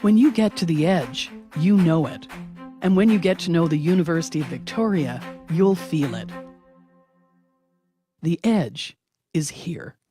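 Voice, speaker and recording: A woman narrates a promotional film in a fairly low voice. The delivery is polished and scripted, and music plays clearly underneath her words. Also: animated, unhurried, pauses often, dark tone.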